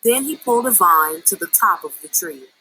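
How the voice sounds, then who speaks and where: A woman reading a children's story aloud in an expressive storytelling style. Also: on the low side, normal speed, slightly rough, low-quality recording. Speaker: story reader.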